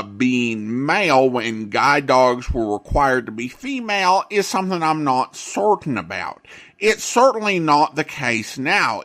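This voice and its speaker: A man hosting a podcast, giving commentary in a deliberate, emphatic way with pauses between phrases. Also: mid-range voice, fairly bright tone. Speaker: podcast host.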